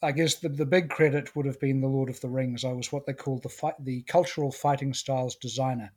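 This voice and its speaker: A middle-aged man with a New Zealand accent, a guest in a casual interview, talking in a relaxed, thoughtful way. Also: medium pitch, brisk, husky.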